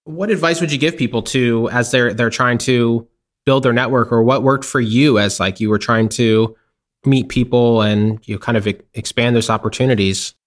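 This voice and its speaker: A man's voice: mid-range voice, fairly even intonation, normal speed. A man hosting a casual interview, chatty, using many fillers and laughing easily. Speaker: podcast host.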